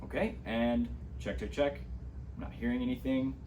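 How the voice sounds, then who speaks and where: A man's voice: mid-range voice, steady tone, normal speed, a little mellow, slight background noise. A man giving a casual, hands-on gear tutorial, explaining things step by step as an instructor.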